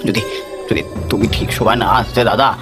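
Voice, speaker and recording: A man's voice: fairly low pitch, fairly even intonation, a little fast, natural voice. A man voicing a village fisherman in a cartoon ghost story, colloquial and theatrical. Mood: shaken, frightened. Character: cocky village fisherman, father, scoffing at ghosts. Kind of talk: Bengali animated ghost story voice acting. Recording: music behind the voice.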